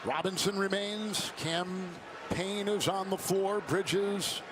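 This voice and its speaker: A man's voice: sits high, takes it slowly, noisy background. A man giving live basketball play-by-play in clipped phrases that rise on big plays. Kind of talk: live sports play-by-play commentary.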